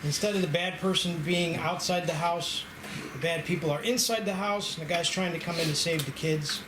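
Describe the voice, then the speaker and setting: A man's voice: on the high side, normal speed. A man leading a table read, informally organizing the group and explaining things. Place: indoor room with a group. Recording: noisy, echoey room.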